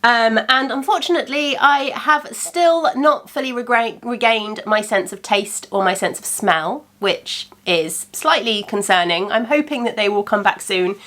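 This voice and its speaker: A woman's voice: on the high side, measured pace, hardly any pauses, a bit bright. A woman in her thirties hosting a crafting podcast, chatting casually with many ums while a little congested from a cold. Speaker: podcast host. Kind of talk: casual chat.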